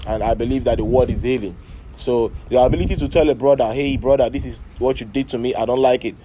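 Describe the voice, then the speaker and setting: A man with a Nigerian accent giving a thoughtful interview answer, pausing often with fillers. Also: medium pitch, warm tone, poor audio. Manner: thoughtful, hesitant with many fillers. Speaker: artist contributor.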